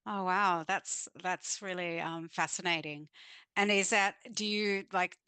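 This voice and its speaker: A woman's voice: lowish voice, fairly even intonation, a little slow, clean tone, dry recording. A woman hosting a podcast interview over a remote call, with a hesitant, filler-filled way of asking questions. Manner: warm, hesitant, lots of fillers. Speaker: podcast host. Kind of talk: casual interview. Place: in Sydney.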